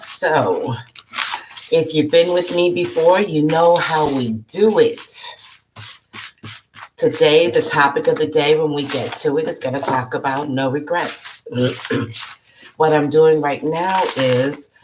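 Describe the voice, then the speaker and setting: Slow low-voiced woman with allergy-froggy throat. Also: background noise. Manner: chatty, greets viewers by name, clears throat often. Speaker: psychic life coach hosting a live show. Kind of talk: casual live-stream chat.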